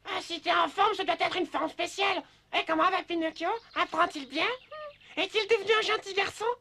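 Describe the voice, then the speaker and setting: A woman's voice: extremely high pitch, brisk, bright, forceful tone, a little hiss or hum, exaggerated voice. A woman voicing a small chatty bird in a French cartoon dub, teasing and inquisitive. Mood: teasing, nosy. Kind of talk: French animated series dub.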